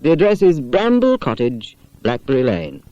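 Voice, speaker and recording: A man voicing a kindly, practical mother in a storybook, brisk and instructive. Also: mid-range voice, wildly swooping pitch, mellow, no room sound, dull audio, pitched above the performer's own voice.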